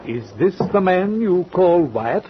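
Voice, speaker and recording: A courteous, composed male sea captain in an old radio drama, with a fairly high, lively voice that rises and falls a lot. He speaks formally, pausing now and then. The recording sounds dull, with clear hiss behind him. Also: full character voice. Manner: formal, courteous, matter-of-fact with small hesitations. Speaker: ship's captain. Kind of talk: 1940s radio acting.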